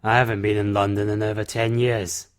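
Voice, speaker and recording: Man acting a low-voiced, secretive older recluse. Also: little pitch movement, a little fast, clear, resonant tone, close to an everyday voice. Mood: reassuring, steady. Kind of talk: amateur audio drama dialogue.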